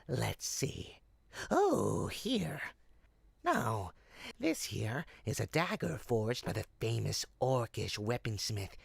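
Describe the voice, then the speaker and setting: Raspy, sly old goblin peddler. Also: male voice, mid-range voice, dry recording, exaggerated voice. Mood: boastful sales pitch. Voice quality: raspy, creaky. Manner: smooth-talking, wheedling, folksy street hawker. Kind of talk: character roleplay audio.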